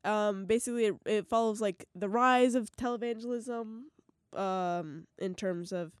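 A woman's voice: medium pitch, unhurried, smooth, no echo, clean studio sound. A young woman with a slightly stuffy, sick voice, co-hosting a casual podcast chat, chatty and giggly with frequent restarts. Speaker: podcast co-host.

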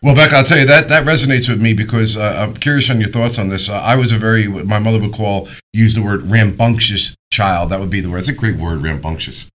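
A man's voice: lowish voice, quick pace, few pauses, a bit bright. A middle-aged American man hosting a casual radio and podcast interview, joking and emphatic, often repeating words for stress. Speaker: radio show and podcast host.

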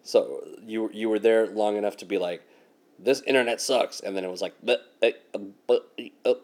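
Wisecracking low-voiced man in his forties. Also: moderate pace, a little mellow. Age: 40s. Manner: wisecracking, swears a lot. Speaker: podcast co-host. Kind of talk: casual chat. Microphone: video call.

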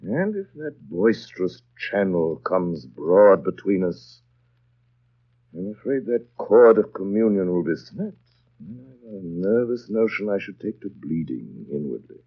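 An actor in a 1930s radio drama playing a brooding, commanding master of a manor, theatrical and musing, teasing yet tender. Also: male voice, low voice, big pitch swings, unhurried, full character voice. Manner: theatrical, musing, clipped asides. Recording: dull lo-fi 1930s radio recording.

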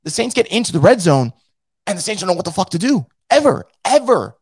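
A hoarse man who is losing his voice hosts a casual fan show and reads out the live chat, blunt and profane, with many restarts. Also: sits high, very animated, fairly quick, no echo, clean studio sound. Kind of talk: casual post-game rant.

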